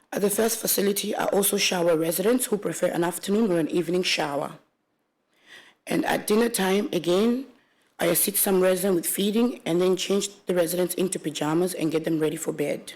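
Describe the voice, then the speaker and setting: Witness, woman, 20s, from Congo; low-ish voice, reads her statement steadily and carefully. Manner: steady, careful reading with small restarts. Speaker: witness, aged care worker. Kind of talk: reading a prepared statement aloud. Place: public hearing room.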